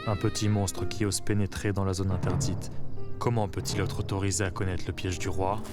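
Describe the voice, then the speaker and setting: A stern envoy of a monster king, played by a man, speaks in a lowish, unvarying voice. The orders are cold and imperious, with loud animated-film music behind. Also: a little fast, close to an everyday voice. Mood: cold, commanding. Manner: flat, imperious commands. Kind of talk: animated film dub acting.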